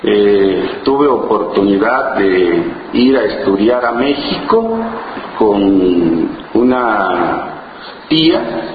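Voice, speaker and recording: A man from Oaxaca whose first language is Zapotec, a poet who tells his story haltingly, with many fillers and restarts, and reads his own poems. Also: fairly low pitch, very animated, very echoey, big-room sound, highs cut off, slight background noise. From Juchitán, Oaxaca, Mexico. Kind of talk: slow reflective interview and poetry reading.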